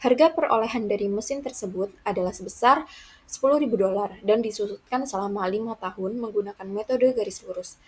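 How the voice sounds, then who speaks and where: A young woman with a husky edge and mid-range pitch reads out a student presentation. Her delivery is formal and methodical, going item by item. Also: fairly quick. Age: young adult. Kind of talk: reading out a worked accounting example.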